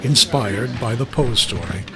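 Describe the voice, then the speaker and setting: A man hosting radio theater, giving a scripted introduction in theatrical, measured storyteller phrasing. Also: medium pitch, expressive, over music. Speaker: host, star and producer.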